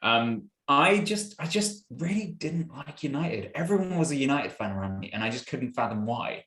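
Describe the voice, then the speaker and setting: A man's voice: medium pitch, lively intonation, moderate pace, fairly bright tone. Man chatting in a roomy echo. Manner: conversational, musing with fillers. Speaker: podcast co-host. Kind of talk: casual chat.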